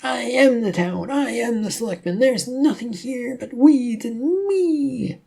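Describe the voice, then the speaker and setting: A storybook town selectman, acted out by a man reading, lost in delusion and defiant about it. The voice is pushed well up high and proclaims everything with grandiose, theatrical importance. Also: animated, a little slow, vocal fry, a little mellow, heavily acted. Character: deluded, defiant male town selectman playing preacher.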